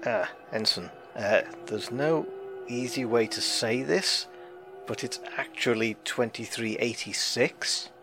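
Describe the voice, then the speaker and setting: Sci-fi drama: man as measured male starship commander, tactful authority, medium pitch, scored with music. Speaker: captain. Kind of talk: sci-fi audio drama acting.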